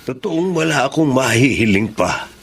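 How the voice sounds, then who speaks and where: An actor playing an earnest, gentle middle-aged husband and father with a Filipino accent and measured, formal phrasing. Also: medium pitch, expressive, slight background noise, natural voice. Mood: relieved, tender. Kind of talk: Filipino radio drama acting.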